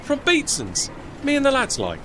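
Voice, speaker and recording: A man's voice: very high voice, wildly swooping pitch, normal speed, noisy background, full character voice. An actor playing a chatty, deferential working-class tugman with a London Cockney accent and a rambling, folksy manner in a period audio drama. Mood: cheerful, deferential.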